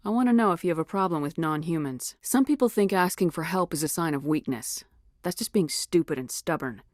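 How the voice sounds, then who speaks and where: A woman playing a tough, steady female military officer in a video game, speaking with firm, clipped authority. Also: on the low side, brisk, no room sound, studio quality, natural voice. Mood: firm, authoritative. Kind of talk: video game dialogue acting.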